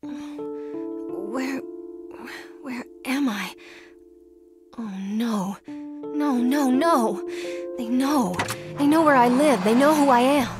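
Feisty high-pitched young superheroine. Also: female voice, very slow, pauses often. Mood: anxious. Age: young adult. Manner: sarcastic, spirited. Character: feisty young woman superheroine, defiant and wry. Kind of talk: animated motion comic acting with inner monologue. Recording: music under the voice.

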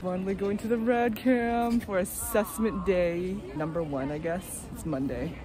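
A woman's voice: on the low side, a little slow. A young woman, an MBA student, chatting and giggling while vlogging outdoors on a phone held at arm's length. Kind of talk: casual vlog chat. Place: outdoors on a country path. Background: loud background music.

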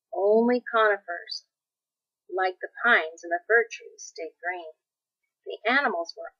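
A woman's voice: fairly low pitch, bright, forceful tone, husky, no echo, muffled recording. Woman reading a children's story, dry sound. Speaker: storybook reader. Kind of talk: expressive scripted narration of a children's story.

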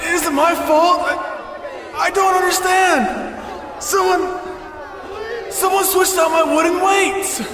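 A man's voice: very high-pitched, fairly even intonation, takes it slowly, long pauses, noisy background. An actor playing a bewildered, pleading circus strongman who stammers, in an audio drama. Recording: distant, echoey hall with crowd.

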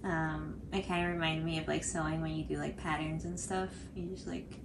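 A woman's voice: deep voice, little pitch movement, fairly quick, background noise. A woman in her twenties with an American accent, co-hosting a craft tutorial in a casual, chatty style. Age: 20s. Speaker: craft video co-host. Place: small indoor room at home, on a couch.

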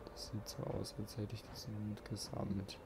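A man's voice: fairly low pitch, completely flat delivery, moderate pace, creaky, very noisy. A man giving casual gameplay commentary, musing informally to himself and his viewers as a let's play host.

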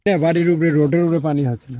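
An older man from Bangladesh, a buffalo herder answering questions outdoors in a halting, repetitive way. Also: on the high side, fairly even intonation, mellow. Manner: halting, repeats words. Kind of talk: casual interview answers. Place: outdoors in a rural field.

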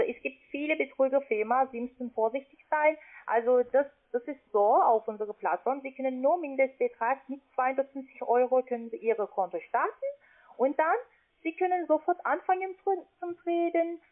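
A non-native woman with a foreign accent giving a halting phone sales pitch as a call-centre agent. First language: not German. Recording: thin, band-limited phone call.

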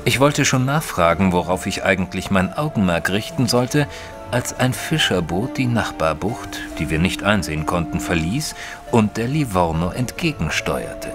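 A man playing a reserved Victorian gentleman, a detective's companion, narrating an audio drama in a measured, formal way. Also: sits low, very animated, over music. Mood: measured, descriptive.

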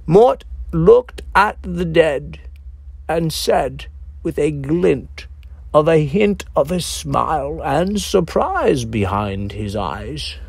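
Slow, gravelly male storyteller. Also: on the high side, no room sound. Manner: theatrical, deliberate, relishing macabre wordplay. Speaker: author reading own story. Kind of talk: scripted dark-comedy story narration.

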